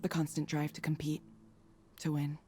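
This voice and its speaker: A woman's voice: low-pitched, monotone, moderate pace, mellow, slight background noise, lightly acted. A woman playing a guarded, skeptical tech researcher in a visual novel, speaking in a restrained, direct, questioning way. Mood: weary, challenging.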